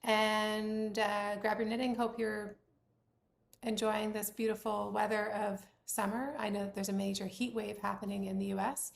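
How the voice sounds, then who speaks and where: A woman in her forties or fifties from Bermuda, hosting a knitting podcast in casual chat, her voice a little croaky and her talk rambling with lots of fillers. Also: mid-range voice, level tone, normal speed, echoey room. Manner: chatty, rambling with many fillers. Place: room at home with doors closed.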